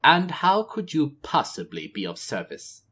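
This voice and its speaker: A man's voice: a little high, wildly swooping pitch, unhurried, sharp tone, husky, pitched above the performer's own voice, exaggerated voice. A man voicing a haughty, short-tempered female troll queen who speaks cold and disdainful. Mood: scornful.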